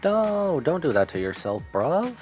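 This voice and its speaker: A man's voice: fairly high pitch, very animated, unhurried, a little mellow, highs cut off. A man giving casual live reactions to a game as a streamer, sympathetic and emotionally reactive. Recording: faint game music behind.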